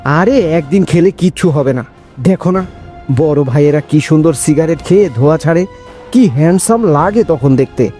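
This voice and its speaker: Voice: mid-range voice, animated, moderate pace, warm tone, close to an everyday voice. A performer voicing a pushy young boy who secretly smokes and coaxes his friend in a cartoon. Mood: coaxing, boastful. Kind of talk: cartoon voice acting. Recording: music behind the voice. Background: background music.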